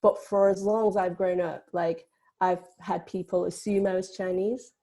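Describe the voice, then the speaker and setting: A woman's voice: lowish voice, steady tone, normal speed, warm tone. A woman from Vietnam who grew up in Britain, speaking informally and reflectively on a panel, often hedging her words. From born in Vietnam, grew up in Britain. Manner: hedging, frequent "you know" and "kind of". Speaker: film producer on a panel.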